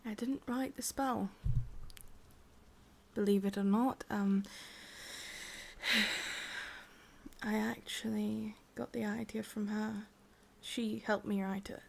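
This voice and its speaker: A woman with an English accent voicing a possessive, sadistic witch in a slow, breathy ASMR roleplay whisper. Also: medium pitch, steady tone. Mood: confiding. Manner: slow, intimate, teasing. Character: sadistic, possessive witch, softly menacing and doting.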